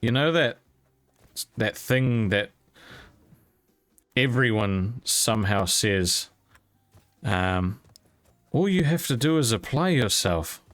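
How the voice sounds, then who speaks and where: A man's voice: medium pitch, animated, takes it slowly, long pauses, fairly bright tone, no room sound. A young man telling a rambling personal story casually while gaming, candid and informal with some casual swearing. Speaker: YouTube content creator.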